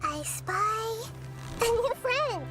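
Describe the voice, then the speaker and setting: A tiny girl spirit from a game trailer, with an extremely high, childlike voice. She speaks slowly, gently and playfully, with loud music playing around her. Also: lively intonation, exaggerated voice. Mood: gentle, playful. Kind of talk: game character trailer voice acting.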